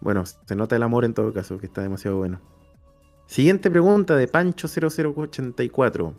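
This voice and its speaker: A man's voice: mid-range voice, quick pace, darkish tone, soft background music. A Chilean man chatting casually as a podcast host and interviewer. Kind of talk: casual chat.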